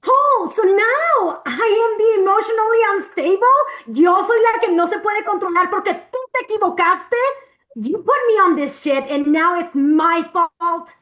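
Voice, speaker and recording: A woman acts out an enraged patient with a very high voice. She is combative and sarcastic, slipping between English and as she spirals through a heated drama scene. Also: normal speed, a bit bright, low-quality recording. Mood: indignant, accusing. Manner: confrontational, swearing, switches between English and Spanish. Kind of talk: heated dramatic acting at a table read.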